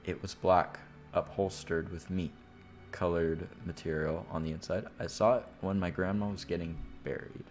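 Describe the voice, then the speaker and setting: A man's voice: deep voice, steady tone, moderate pace, faint music behind. A man streaming a visual novel, reading the narration aloud with informal, casual asides.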